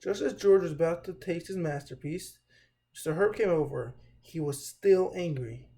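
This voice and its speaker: A man reads a children's storybook aloud as host. His voice is mellow and fairly high for a man, with frequent creak, and he reads in a slightly halting way.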